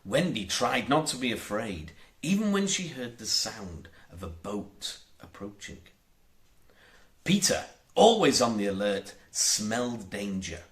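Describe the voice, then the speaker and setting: A man narrating a children's storybook in a measured, deliberate and expressive way. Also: fairly low pitch. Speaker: audiobook narrator.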